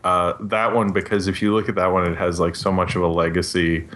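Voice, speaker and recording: Male podcast panelist, deep register, conversational and halting, thinking aloud. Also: level tone, fairly bright tone. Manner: hesitant, trails off mid-thought. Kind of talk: casual panel chat about films.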